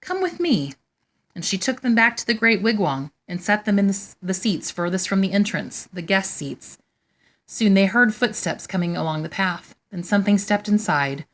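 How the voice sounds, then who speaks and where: A woman hosting storytime, reading a children's picture book aloud in a measured, expressive way. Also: medium pitch. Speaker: storytime host and reader.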